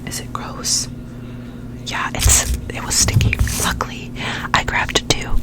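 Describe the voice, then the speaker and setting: Stuffy-nosed woman in a slow close whisper. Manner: soft rambling whisper, playfully repeats words. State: stuffy and nasal from a cold, sore throat. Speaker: ASMR creator. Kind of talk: whispered ASMR ramble. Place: home bedroom with dim warm lamp. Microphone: very close sensitive mic, gain turned up high.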